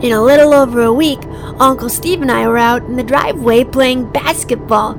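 A woman playing an earnest, high-voiced little boy. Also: moderate pace, gravelly, over music. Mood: earnest, matter-of-fact. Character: earnest, innocent seven-year-old boy narrating his story. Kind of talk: audio drama acting and first-person narration.

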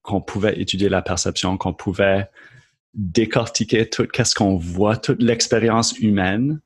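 Man, Acadian French accent, thirties, lowish voice, earnest, halting, podcast guest. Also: fairly even intonation, slightly rough. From Moncton, New Brunswick, Canada. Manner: hesitant, many restarts and fillers, earnest. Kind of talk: casual conversational interview.

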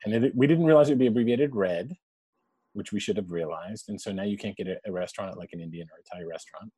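A man's voice: on the low side, fast, mellow, breathy edge, dry recording. A man in his forties, a guest telling family stories in a chatty, laughing way. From born in Canada, raised abroad. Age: 40s. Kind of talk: casual storytelling interview.

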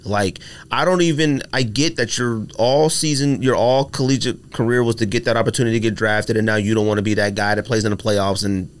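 A man's voice: on the low side, steady tone, a little fast, few pauses. A man in casual sports debate on a podcast, opinionated and informal, often repeating phrases for emphasis.

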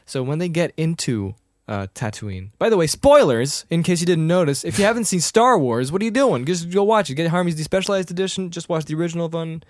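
Guy rambling about a movie with his buddy, dry close sound, jumps into silly high quote voices sometimes. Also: lively intonation, fairly quick, few pauses, dry recording. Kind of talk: casual movie discussion.

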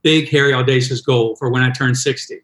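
A man's voice: mid-range voice, fairly even intonation, brisk, fairly bright tone, reverberant. A man in his sixties, a triathlon coach, telling a self-deprecating story with many restarts in a podcast interview. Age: 60s.

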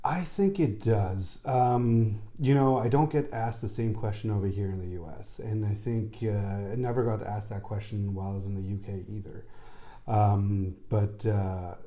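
An easygoing man in his thirties from Austria, German his first language, talks as an interview guest. His voice is low and warm. He laughs readily between answers. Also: steady tone, normal speed.

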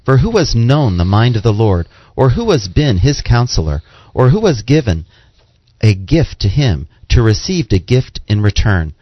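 A man's voice: medium pitch, sing-song, normal speed, slightly rough, dry recording, muffled recording. A man co-hosting a religious radio show, reading scripture and scripted announcements. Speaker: radio co-host and scripture reader.

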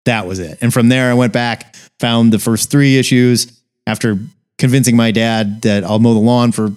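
A man's voice: medium pitch, fairly even intonation, moderate pace, darkish tone, clear, resonant tone. An American man in his forties chatting casually as a podcast co-host, with an emphatic, informal way of talking. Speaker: podcast co-host reviewing comics. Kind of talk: casual chat.

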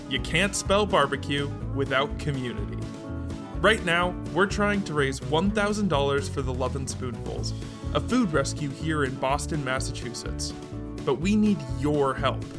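A man reading a scripted promotional appeal as a trailer announcer. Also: on the high side. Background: guitar music.

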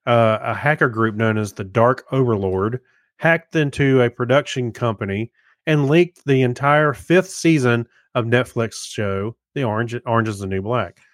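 A man's voice: medium pitch, moderate pace, dry recording, clean studio sound. A man chatting casually as the co-host of a podcast. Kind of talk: casual chat.

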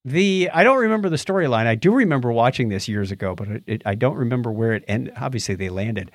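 Middle aged guy on a podcast, rambly casual review chat, really lively pitch, no room echo. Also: quick pace. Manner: rambling, groping for names. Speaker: podcast co-host.

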